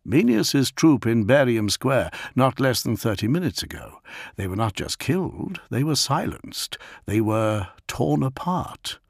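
A man's voice: mid-range voice, very animated, a little slow, creaky, slightly rough, no echo, pitched above the performer's own voice, full character voice. Man playing a dutiful officer, urgent, formal, clipped delivery, audiobook drama, dry studio sound. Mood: grave reporting. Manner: clipped, formal military reporting. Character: dutiful male military officer, respectful, urgent, analytical.

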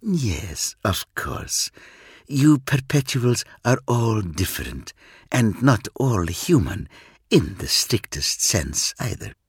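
A man voicing a cryptic male alien seer in an audiobook, speaking low, hushed and measured with calm superiority. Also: slightly rough, no echo, studio quality, full character voice. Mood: cool, probing. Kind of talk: audiobook reading.

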